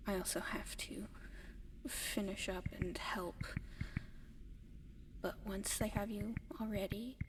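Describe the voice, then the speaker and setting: A woman voicing a gentle, eager alien in an ASMR roleplay, whispering softly and haltingly to the listener, slow and breathy. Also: medium pitch, lightly acted. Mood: hesitant, earnest. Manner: soft, hesitant, breathy whispering with halting pauses. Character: gentle, eager giant female alien adopting a human pet. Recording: long reverb, audible background noise.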